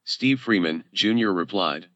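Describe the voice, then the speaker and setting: Deep, bright-voiced male narrator. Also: animated, measured pace, dry recording, lo-fi sound. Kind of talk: scripted narration, announcing posts.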